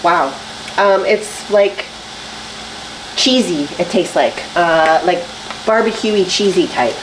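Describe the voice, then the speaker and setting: A woman's voice: fairly low pitch, animated, slow pace, noisy background. A woman fitness vlogger talking casually while she cooks, sounding a little stuffy and nasal after a cold, upbeat and full of fillers. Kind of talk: casual chatty vlog while cooking. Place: home kitchen. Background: faint sizzling of frying food.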